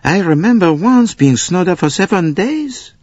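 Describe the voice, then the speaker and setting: Fretful conductor, high and breathless, voiced by a man. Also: moderate pace, no echo, highs cut off. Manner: fretful, excitable. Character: anxious, fussy male train conductor.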